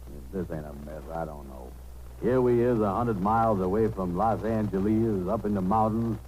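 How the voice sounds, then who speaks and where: An actor playing a blustery, grumbling, slow-witted taxicab driver with folksy ungrammatical speech in a 1930s radio comedy. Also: male voice, fairly low pitch, darkish tone, lightly acted. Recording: noisy 1930s radio broadcast.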